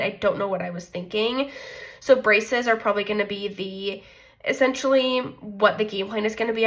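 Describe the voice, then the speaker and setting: A woman's voice: mid-range voice, fairly bright tone. Congested, chatty young woman budgeting aloud. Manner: chatty, self-deprecating, thinks aloud with frequent likes. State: congested from a cold. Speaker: budgeting vlogger. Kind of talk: casual chat walking through a monthly budget.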